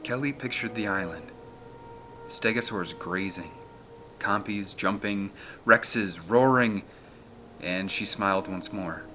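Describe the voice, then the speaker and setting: A man with a fairly low voice and a bright, forceful edge works as a narrator and host. His delivery is expressive, suited to storytelling. Film score music plays behind him. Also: slow. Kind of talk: audiobook narration and casual sign-off.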